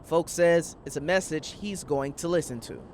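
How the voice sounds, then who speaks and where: A man's voice: fairly high pitch, normal speed, background noise. A man delivering a scripted TV news report as the reporter.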